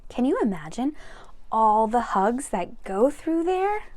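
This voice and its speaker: A woman with a slightly high voice reads a picture book aloud for young children. She is expressive and speaks to her listeners directly, adding chatty asides as she goes. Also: unhurried. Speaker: read-aloud storyteller for children.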